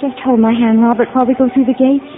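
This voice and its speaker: A gentle, earnest woman patient finally on the mend, played by an actress. She speaks softly and tenderly in 1940s radio drama style. The sound is thin and tinny like an old broadcast, with faint music underneath. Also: a little high, level tone, fast, close to an everyday voice. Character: gentle woman patient leaving an asylum, recovering.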